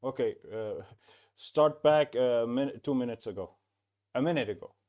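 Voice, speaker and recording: A man hosting a casual tech podcast over a remote call, chatty and quick to chime in with short agreements. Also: mid-range voice, a little mellow. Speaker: podcast host.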